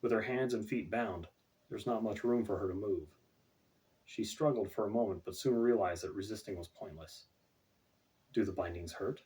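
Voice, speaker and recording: A man's voice: on the low side, normal speed, warm tone. A man reading his own fantasy novel as scripted audiobook narration, recorded away from the microphone.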